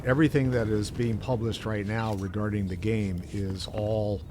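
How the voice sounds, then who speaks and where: A man's voice: on the low side, steady tone, normal speed, noisy background. A man co-hosting a gaming show, chatting casually as he plays in a rambling, mildly exasperated way. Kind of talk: casual chat while gaming.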